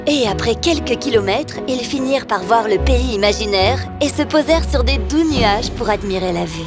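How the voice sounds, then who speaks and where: A woman narrates a children's fairy tale in a high-pitched voice. Her scripted storytelling runs over clearly audible music. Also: lively intonation, moderate pace.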